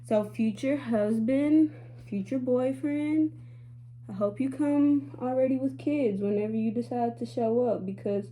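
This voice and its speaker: A woman in her late twenties chats openly with her audience in a relaxed vlog. Her voice is mellow and warm, her delivery sassy and confiding. A faint hum sits behind her. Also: on the high side, poor audio. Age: 20s. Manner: chatty, candid and sassy, addressing viewers as y'all. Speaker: beauty vlogger. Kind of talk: casual chatty vlog talk.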